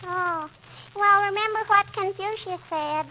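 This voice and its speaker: Cheeky little girl, very high voice, slow drawn-out pestering, old radio comedy, muffled noisy recording. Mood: cheeky, playful. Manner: pestering, says "hmm?" and "I betcha". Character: cheeky, chatty little girl from across the street. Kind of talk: 1940s radio comedy acting.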